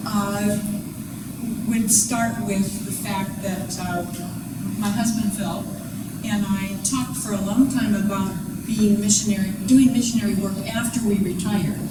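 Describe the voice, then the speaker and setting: An older woman, a former missionary, telling her story in a chatty, self-deprecating way at a public talk. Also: medium pitch. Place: museum event hall. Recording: echoey room from far off, loud noise.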